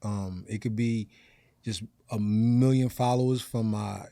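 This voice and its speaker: An American man, a stand-up comedian guesting on a podcast, talking casually in slang and thinking out loud. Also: on the low side, steady tone, unhurried, vocal fry, no room sound.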